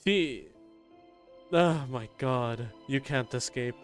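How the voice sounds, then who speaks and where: A man's voice: mid-range voice, slow, faint music behind. A man streaming a game, reacting casually in a sweary, exclamatory way.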